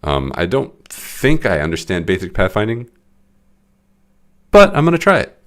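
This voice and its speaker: A young man with a very low voice, audibly congested and stuffy. He rambles through a late-night coding stream in casual, self-deprecating talk full of restarts. He speaks into a desk mic at home. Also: wildly swooping pitch, moderate pace, a bit bright. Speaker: game developer streaming his work. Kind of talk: casual live-stream commentary.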